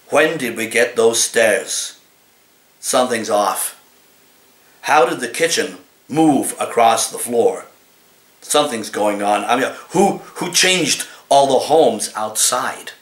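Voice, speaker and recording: A man in his sixties reads comic poetry like an actor, with a deliberate, dramatic and expressive delivery, recorded in a living room. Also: mid-range voice. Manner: deliberate, theatrical, expressive delivery in a cat's persona. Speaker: actor reading poems. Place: small living room, indoors. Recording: roomy, echoey home recording.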